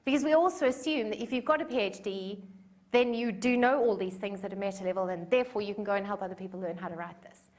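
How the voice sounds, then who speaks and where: A woman's voice: mid-range voice, quick pace, darkish tone. A woman in her forties with a South African accent gives a conference talk in a chatty, self-deprecating way, with plenty of fillers. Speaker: conference speaker. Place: conference room.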